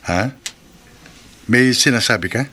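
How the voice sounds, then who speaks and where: A man's voice: mid-range voice, unhurried, bright, forceful tone. A man playing a meek, nervous, lovelorn husband in a horror radio drama, hesitant and prone to stammering. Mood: friendly, earnest. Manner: hesitant, stammering when flustered.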